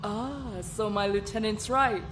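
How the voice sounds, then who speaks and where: A woman's voice: medium pitch, measured pace, over music, lightly acted. A woman voicing a commanding, foul-mouthed female admiral in a fan-made parody drama. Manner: commanding, swearing.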